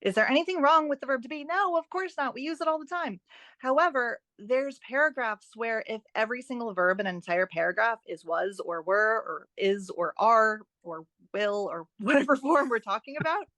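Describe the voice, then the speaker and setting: A woman's voice: on the high side, normal speed, fairly bright tone, dry recording. A woman guest on an interview, an author and editing expert explaining writing tips conversationally, with an emphatic, instructive manner.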